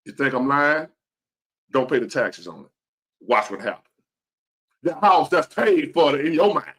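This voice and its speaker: A man in his fifties giving earnest, preachy motivational talk to his viewers, repeating key phrases and swinging between loud and hushed. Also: a little high, a little slow. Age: 50s. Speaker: motivational vlogger.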